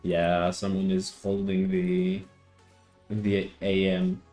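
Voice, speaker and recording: Slow, hesitant man streaming a game. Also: low voice, big pitch swings, dark tone, faint music behind. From Greece. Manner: hesitant, repeats words, answers chat. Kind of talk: casual live-stream chat.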